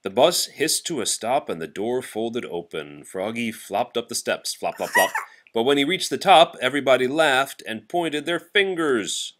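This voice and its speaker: Male storybook reader, mid-pitched, highly animated delivery for kids. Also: moderate pace, background noise. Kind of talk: animated children's read-aloud.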